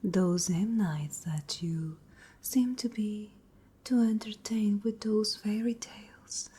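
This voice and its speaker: Casting: actress as menacing castle vampiress for ASMR, extremely breathy whisper, very slow, taunting delivery. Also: female voice, medium pitch, steady tone, dark tone. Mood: coolly menacing. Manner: slow, deliberate, taunting, haughty. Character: cruel, menacing noble lady vampire of a castle.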